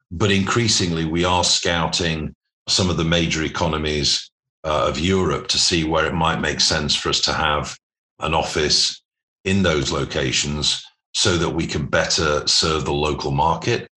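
A man speaking as an interview guest, explaining business matters in measured, corporate phrasing. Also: a bass voice, bright, forceful tone. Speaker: podcast guest, private equity investor.

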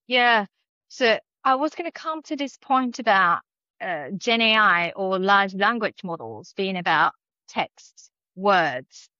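A woman with a Japanese accent, a native Japanese speaker, answering interview questions as an academic in a thoughtful way with many pauses and fillers. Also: mid-range voice, lively intonation, pushed and bright, dry recording, highs cut off. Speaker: academic guest.

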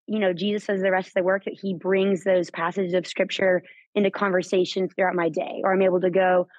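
A woman's voice: lowish voice, little pitch movement, fairly quick, a little mellow, no room sound, dull audio. A young woman speaking as a guest, sharing a personal faith testimony in an earnest, reflective way. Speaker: camper guest.

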